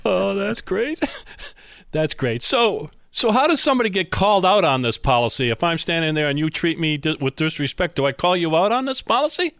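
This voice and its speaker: A male radio host with a very lively, swinging pitch and an informal, blunt way of talking. He sounds close to the mic, with almost no room around him. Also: moderate pace, creaky, no room sound. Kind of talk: conversational business interview with sponsor reads.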